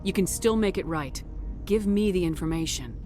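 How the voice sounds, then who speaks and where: A woman playing a steady female military commander in a video game, direct and businesslike. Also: on the low side, normal speed, music in the background, lightly acted. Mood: firm, questioning. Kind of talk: dramatic video game acting.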